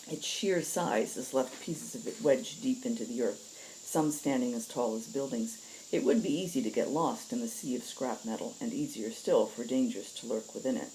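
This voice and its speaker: Very low, mellow-voiced woman storyteller. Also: slight background noise, poor audio. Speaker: host reading stories aloud. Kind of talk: casual introduction and expressive story reading.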